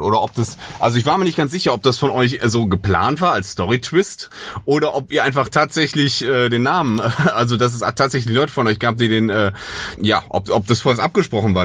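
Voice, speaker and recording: A man's voice: mid-range voice, brisk, fairly bright tone. A man with an agreeable voice, talking casually and at length in a listener's voice message. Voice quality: pleasant, agreeable voice. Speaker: listener sending a voice message question.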